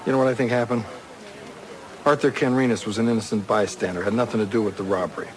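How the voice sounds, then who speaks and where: Actor as low-voiced, no-nonsense police detective. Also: male voice, fairly even intonation, fast talker, close to an everyday voice, poor audio. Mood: dry, pondering. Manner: clipped, matter-of-fact questioning. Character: no-nonsense male police detective, dry and dogged. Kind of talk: audio drama acting.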